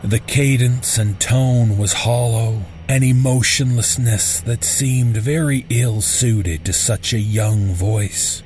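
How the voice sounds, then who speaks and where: A man narrating a scripted first-person horror story in a deliberate, suspenseful manner. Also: medium pitch, darkish tone, a little hiss or hum.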